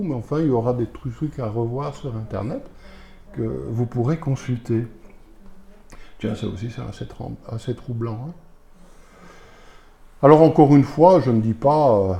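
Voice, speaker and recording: A man's voice: medium pitch, mellow. A man with a Belgian French accent, a pastor preaching to a congregation and pressing them with rhetorical questions. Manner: fires rhetorical questions at listeners, emphatic.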